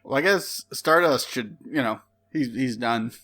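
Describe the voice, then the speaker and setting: A man's voice: mid-range voice, measured pace, sharp tone. A man co-hosting a comedy podcast, making wry remarks about a comic. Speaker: podcast co-host. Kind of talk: comedic banter.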